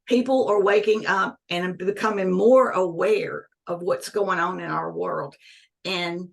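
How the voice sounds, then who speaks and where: A woman's voice: lowish voice, fairly even intonation, measured pace, creaky. A woman in her sixties, a guest in an interview, speaking earnestly and emphatically and repeating key phrases. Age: 60s. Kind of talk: conversational interview.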